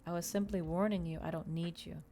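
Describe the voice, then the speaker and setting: A woman's voice: lowish voice, steady tone, fairly quick, warm tone, a little hiss or hum, close to an everyday voice. A woman voicing a sneering, domineering male vampire in a story reading, scornful and commanding. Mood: cold disdain.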